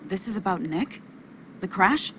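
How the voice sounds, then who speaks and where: An actress playing an earnest, principled journalist in a video game drama, speaking in an emotional, pleading way. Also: female voice, medium pitch, animated, unhurried, a bit bright, a little hiss or hum. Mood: wary, trusting. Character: earnest, principled female journalist, anxious but loyal friend.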